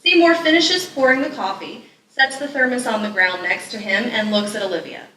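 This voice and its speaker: A woman reads stage directions aloud at a live reading. Her delivery is crisp-edged and bright, picked up from a distance, with echo and noticeable noise around it. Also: on the high side, brisk. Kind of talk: scripted narration at a live table read. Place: live festival event, echoey venue. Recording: echoey room, distant mic, background noise.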